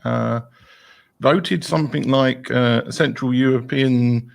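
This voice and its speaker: Gravelly, hesitant male host. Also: mid-range voice, steady tone, slow pace. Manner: rambling, hesitant with many fillers. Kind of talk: casual interview hosting.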